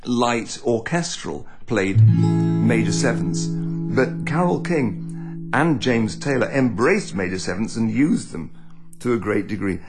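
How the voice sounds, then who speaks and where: A man's voice: lowish voice, animated, takes it slowly. A man who is a singer, guitarist and broadcaster, chatting easily about a song and singing bits of it to show the chords. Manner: easygoing, chatty, sings lines to illustrate chords. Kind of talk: explaining a song while playing guitar. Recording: guitar playing behind.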